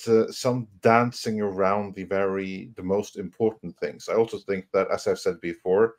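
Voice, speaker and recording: A man giving emphatic, critical commentary on a live panel, often halting and repeating words as he goes. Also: on the low side.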